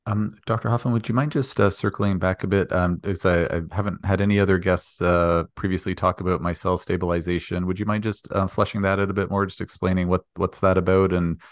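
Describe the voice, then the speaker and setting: A man's voice: low-pitched, fairly even intonation, a little fast, few pauses, dry recording, clean studio sound. A man hosting an interview, asking polite, hesitant questions full of fillers and apologies. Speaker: host, practitioner. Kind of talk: interview, casual chat.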